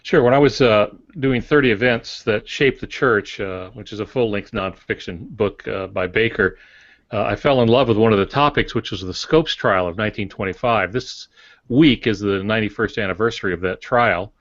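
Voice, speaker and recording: Low-voiced older male author. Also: moderate pace, dry recording. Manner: rambling, with frequent uhs and restarts. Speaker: author and podcast co-host. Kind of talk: casual explanation on a podcast. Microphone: video call. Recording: dull, dry lo-fi sound.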